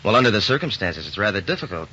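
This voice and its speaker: No-nonsense fed in an old radio show, talks fast, businesslike, dull vintage sound. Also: male voice, fairly low pitch, very animated, sharp tone. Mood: official, firm. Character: brisk, businesslike FBI special agent. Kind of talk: 1940s radio crime drama acting. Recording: dull, hissy 1940s radio recording.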